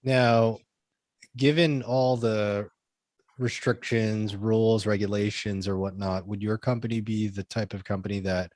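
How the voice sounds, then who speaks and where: Low-ish male podcast cohost, rambly questions, dry close sound. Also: fairly even intonation, unhurried, a little mellow, no room sound. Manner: loose, rambling questions with listed examples. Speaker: podcast co-host, interviewer. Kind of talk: conversational interview questions.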